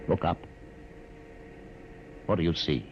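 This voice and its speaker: An actor in a vintage radio drama playing a learned, commanding rebel leader with a measured, grandiose, theatrical delivery. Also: male voice, deep voice, animated, background noise. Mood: commanding, calm. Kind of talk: 1950s radio drama acting. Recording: dull, muffled vintage radio recording.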